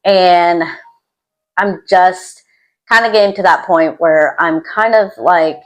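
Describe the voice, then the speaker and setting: A woman with a lowish voice chats informally to camera as the host of her own video channel. She comes across as introverted and self-deprecating, rambling from one thought to the next. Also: slow, rough recording. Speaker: YouTube host. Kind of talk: casual chat to camera. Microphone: external microphone.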